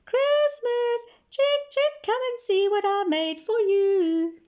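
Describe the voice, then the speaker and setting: High squealing little storybook girl. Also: lively intonation, unhurried, darkish tone, lo-fi sound. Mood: happy, calling. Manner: squealing, sing-song calling. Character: excitable, affectionate little girl devoted to her pet chicken.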